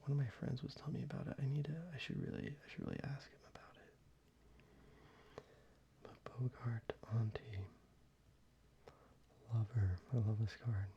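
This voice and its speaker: Whispering man with a gravelly, dark voice. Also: mid-range voice, moderate pace, long pauses. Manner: whispered, relaxed, casual with swearing. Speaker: ASMR creator. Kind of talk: soft-spoken ASMR show-and-tell. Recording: slightly dull, faint background noise.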